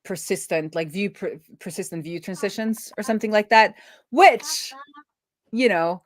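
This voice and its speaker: A woman's voice: medium pitch, lively intonation, moderate pace. A woman thinking aloud in a chatty, casual way as she live-streams a coding session. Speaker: streamer and web developer.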